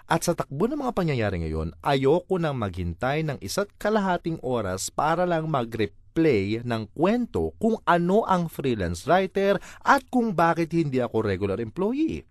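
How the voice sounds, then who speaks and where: A man's voice: fairly high pitch, wildly swooping pitch, moderate pace, few pauses, no room sound, clean studio sound. A man with a Filipino accent reading a first-person comic monologue in a theatrical, exasperated way. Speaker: voice-over reader of a comic monologue.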